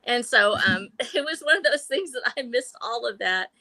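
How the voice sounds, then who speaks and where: Chatty woman in her 60s, bright crisp voice a bit high, laughs a lot, dry close mic on a video call at home. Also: lively intonation, normal speed, dry recording, low-quality recording. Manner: bubbly and chatty, laughs often. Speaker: guest. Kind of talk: casual chat on a live stream.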